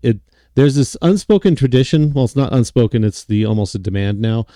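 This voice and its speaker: A man hosting a casual podcast chat, his voice deep and raspy from a cold, rambling and self-mocking. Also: expressive, fairly quick, warm tone, dry recording, clean studio sound. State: hoarse from a cold. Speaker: podcast host.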